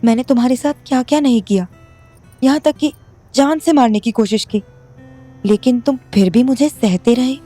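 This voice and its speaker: An actress playing a remorseful young wife who speaks softly and pleadingly, in an audio drama. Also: female voice, fairly high pitch, normal speed, close to an everyday voice. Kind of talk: Hindi audio drama acting. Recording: soft music bed underneath.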